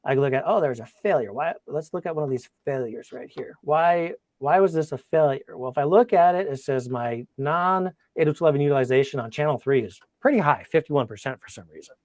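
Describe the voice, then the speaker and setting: A man's voice: on the high side, expressive, brisk, few pauses, darkish tone, dry recording. A man presenting an informal technical webinar and taking questions, talking conversationally and thinking aloud as he goes. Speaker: product demonstrator and webinar presenter.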